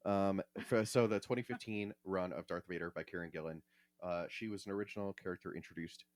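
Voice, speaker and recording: A man's voice: sits low, fairly even intonation, quick pace, no room sound. A man co-hosting a podcast, explaining things in a casual, conversational way. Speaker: podcast co-host.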